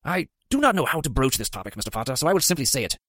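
Guilt-ridden potions master, formal and pained, lively pitch, brisk delivery, read by a man. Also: dry recording, clean studio sound. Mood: grave.

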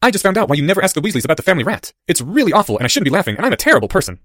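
A clever schoolboy in a storybook, played by a male narrator, eager and wordy. His raised voice rattles along very quickly and swings animatedly as he explains. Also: high-pitched, very animated, fairly bright tone, no echo, studio quality, voice pushed up. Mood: amused. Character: bright, excitable, nerdy schoolboy explainer.

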